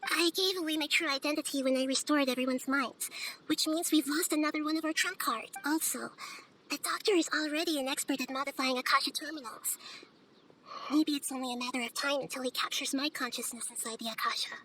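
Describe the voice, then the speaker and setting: A woman voicing a small, wise child goddess in a game, speaking in a soft, youthful, measured and precise way. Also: high-pitched, fairly even intonation, slight background noise, poor audio. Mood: serious. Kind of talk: anime-style game dialogue. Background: background music.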